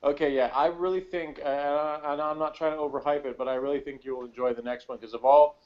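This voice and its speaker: A man co-hosting a casual review chat about TV, talking in a halting, rambling way with frequent self-interruptions. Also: a little high, steady tone, dull audio. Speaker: podcast co-host.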